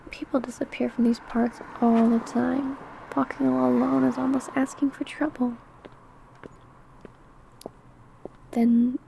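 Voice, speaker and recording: Soft, high-voiced vampire woman, acted out by a woman. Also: slight background noise, rough recording. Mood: gently probing. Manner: soft, breathy, whispery murmuring. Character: ancient female vampire, obsessive, predatory, feigning sweet clumsy coworker. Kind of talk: ASMR roleplay acting.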